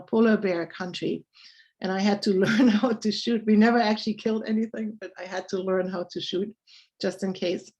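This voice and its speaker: A woman in her sixties from Germany with a German accent, a scientist speaking as a guest in an interview, pausing often with many fillers. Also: mid-range voice, darkish tone, muffled recording. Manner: halting, many pauses and fillers. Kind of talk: interview chat.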